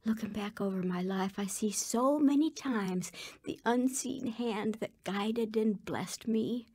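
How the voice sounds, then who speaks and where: A woman's voice: mid-range voice, slow pace, dark tone, slightly rough, no echo. An actress playing a remorseful woman burdened by shame, earnestly telling her life story in a radio drama. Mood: regretful narration. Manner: earnest, regretful. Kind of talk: dramatized first-person testimony.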